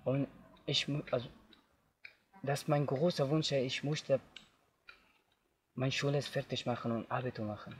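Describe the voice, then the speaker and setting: A young man from Afghanistan, telling his own story outdoors in simple, halting learner's German. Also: mid-range voice, fairly even intonation, slight background noise. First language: not German. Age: young adult. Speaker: interviewee. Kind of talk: personal testimony. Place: outdoors in tall grass.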